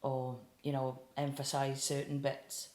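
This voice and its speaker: Welsh woman, late 20s, casual guest, mid pitch, echoey room. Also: unhurried. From South Wales. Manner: chatty, relaxed, with frequent fillers. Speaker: guest singer-songwriter. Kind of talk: casual interview chat. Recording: roomy echo.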